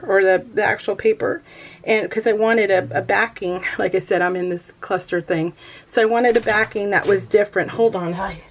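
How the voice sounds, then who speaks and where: An American woman chatting casually to viewers as a craft vlogger, rambling and giggly, poking fun at herself and often trailing off mid-thought. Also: medium pitch. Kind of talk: casual chat to viewers while showing a craft project.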